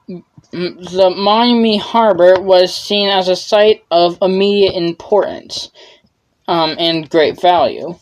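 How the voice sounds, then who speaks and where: Young woman reading history notes, lowish voice going tired and hoarse, trips over words sometimes. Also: rough recording. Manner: reads carefully with frequent stumbles and restarts. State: losing her voice. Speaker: history presenter.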